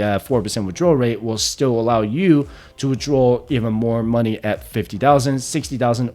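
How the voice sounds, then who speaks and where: Guy in his 30s doing a finance explainer, average-pitched voice, steady, keeps saying right?, little bit of music in the back. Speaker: personal finance channel host. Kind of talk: explainer walking through retirement numbers.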